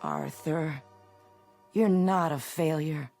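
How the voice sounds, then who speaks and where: An actress playing a middle-aged, practical, exasperated wife in a radio drama, with a wry, deliberate manner. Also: female voice, low voice, gravelly, darkish tone, soft background music, exaggerated voice. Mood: gently concerned.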